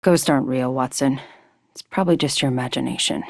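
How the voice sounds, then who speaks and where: A low-voiced, brooding Wraith. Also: female voice, fairly quick, gravelly, no room sound, studio quality, full character voice. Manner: brooding, terse. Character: haunted, skeptical woman fighter.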